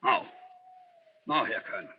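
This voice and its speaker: An actor playing a nervous Nazi junior officer with a tense, stammering delivery in old radio style. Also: male voice, normal speed, close to an everyday voice. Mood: cowed. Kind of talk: 1940s radio acting. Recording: muffled, noisy vintage radio broadcast.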